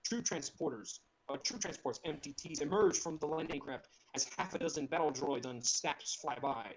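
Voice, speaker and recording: A man's voice: a little high, normal speed, crowd noise. A man narrating a script in a casual group read, breaking off for playful, mocking asides, heard through a slightly distorted laptop microphone. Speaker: narrator reading screenplay stage directions. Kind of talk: joking group table read and casual online chat. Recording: distorted laptop mic on online voice chat.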